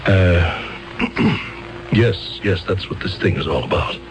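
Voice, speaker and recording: Flustered stammery lawyer, lowish voice, music behind. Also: male voice, lively intonation, takes it slowly, rough recording. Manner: hesitant, stammering, clears his throat. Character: fumbling, wordy middle-aged male court lawyer. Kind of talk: 1970s radio drama acting.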